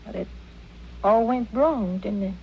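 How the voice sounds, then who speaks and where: A woman's voice: medium pitch, measured pace, natural voice. A woman playing a naive, loyal backwoods girl in a radio western, plaintive and simple, with a rural American accent. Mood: wistful, artless. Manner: simple, folksy, artless. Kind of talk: 1950s-60s radio western acting. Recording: muffled, noisy old radio recording.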